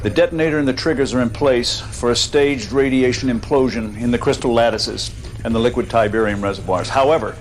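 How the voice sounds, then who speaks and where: An actor playing a precise weapons scientist who reports formally in technical terms in a game cutscene. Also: male voice, medium pitch, brisk, few pauses, a little hiss or hum, lightly acted. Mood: businesslike. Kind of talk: live-action game cutscene acting.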